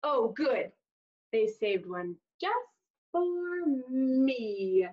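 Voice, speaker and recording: A woman in her thirties reading a storybook aloud to young children with expressive, exaggerated delivery and dramatic pauses, in a small room. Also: on the high side. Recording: dull, lo-fi webcam audio.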